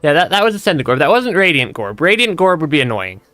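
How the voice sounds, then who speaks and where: Hoarse giggly male streamer, bright voice. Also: a little high, sing-song, fast talker. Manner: giggly, laughs often, stammers and repeats words. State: losing his voice, voice cutting out. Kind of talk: casual gameplay commentary. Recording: music playing behind.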